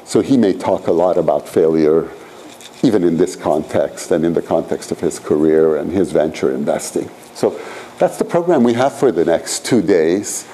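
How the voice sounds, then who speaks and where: Man, 60s, from Iran, professor type. Low, warm voice; loose, filler-laden lecture delivery. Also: lively intonation, normal speed, slight background noise, rough recording. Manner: rambling, filler-heavy, frequent "you know" and "frankly". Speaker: professor leading a seminar. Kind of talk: conversational seminar lecture. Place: lecture room at a graduate school.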